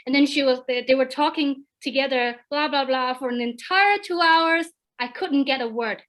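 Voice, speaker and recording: A woman in her thirties from China, speaking English as a second language, telling an animated story as a guest on an online alumni panel, with many repeated starts. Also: sits high, normal speed. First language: Chinese. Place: home, over a video call.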